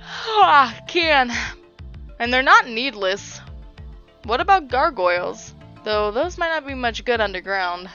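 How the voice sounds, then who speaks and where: A woman's voice: a little high, sing-song, takes it slowly, pushed and bright, lo-fi sound, faint music behind, full character voice. A woman playing a stubborn, breezy guildmaster and fighter in a fantasy audio drama, talking in a casual, flippant way. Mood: breezy, defiant.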